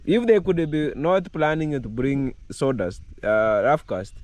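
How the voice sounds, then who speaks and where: A middle-aged man from Kenya with a Kenyan accent, hoarse from a cold, chatting to his camera while he works outdoors in his village. He repeats words and jumps up in pitch when he stresses a point. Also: unhurried, darkish tone. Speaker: vlogger. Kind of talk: casual vlog to camera. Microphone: camera held at arm's length.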